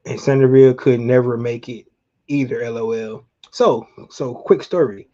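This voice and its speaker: Sports stream host: middle-aged man, relaxed mellow voice, colloquial fan chat, slightly muffled lo-fi audio. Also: medium pitch, normal speed. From Atlanta, Georgia, United States. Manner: conversational, addresses viewers directly, colloquial. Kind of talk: casual fan chat, reminiscing about sports.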